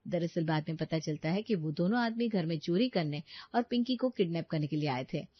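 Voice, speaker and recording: A woman's voice: lowish voice, lively intonation, fairly quick, dry recording. Woman narrating a story, slightly muffled. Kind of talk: scripted cartoon story narration.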